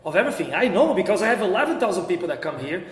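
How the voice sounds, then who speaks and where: Male interview guest, Brazilian accent, slightly high voice, conversational, roomy echo. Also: very animated, rapid-fire. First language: Portuguese. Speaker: interview guest, golf center business owner. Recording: echoey, reverberant room.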